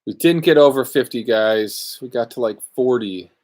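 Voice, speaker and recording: A man's voice: mid-range voice, animated, normal speed, a little mellow. A man hosting a live luthier Q&A from his workshop, chatting casually and rambling through viewer questions. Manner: laid-back, rambling, answers viewer questions. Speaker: luthier podcast host.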